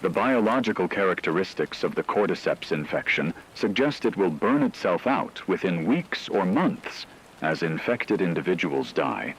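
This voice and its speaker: A grave male news anchor performed by an actor delivers an apocalypse radio bulletin. His low voice is measured and formal, with faint noise behind the scripted report. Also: poor audio.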